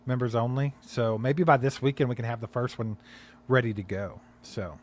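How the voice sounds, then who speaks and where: A man's voice: medium pitch, fairly even intonation, moderate pace. A man co-hosting a casual live sports podcast stream, rambling with many fillers and reading out viewer comments. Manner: rambling, many fillers, reads chat aloud. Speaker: podcast co-host.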